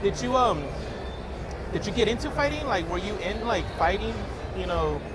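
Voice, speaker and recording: A man's voice: sits high, lively intonation, normal speed. A man hosting a casual interview in a casino, rambling with many fillers and restarts. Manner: rambling, lots of fillers and repeats. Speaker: interview host. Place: casino, busy public area. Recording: loud background noise.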